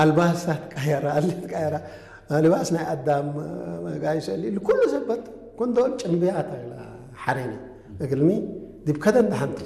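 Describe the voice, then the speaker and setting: A man answering interview questions in a conversational way, talking quickly and pausing on drawn-out fillers. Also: mid-range voice, creaky, mellow. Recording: roomy echo with long reverb.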